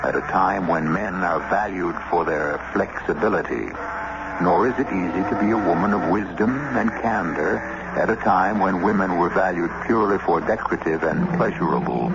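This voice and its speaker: A male radio host narrates a mystery with measured, dramatic deliberation. His voice is deep and gravelly. The old recording is thick with noise. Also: lo-fi sound.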